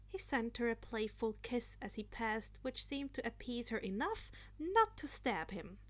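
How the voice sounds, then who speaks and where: A woman narrating fan fiction aloud in an expressive, playful amateur style, now and then stumbling over a word. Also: fairly high pitch. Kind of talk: expressive amateur story reading.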